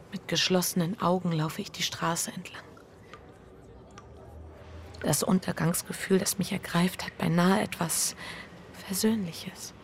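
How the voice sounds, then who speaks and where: Hushed, creaky-voiced anxious wife. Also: female voice, on the low side, slight background noise. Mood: dazed, numb. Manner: introspective, hushed inner monologue with halting dialogue. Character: anxious, guilt-ridden adulterous wife, dazed and restless. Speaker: lead actor and first-person narrator. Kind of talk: intimate literary radio drama narration.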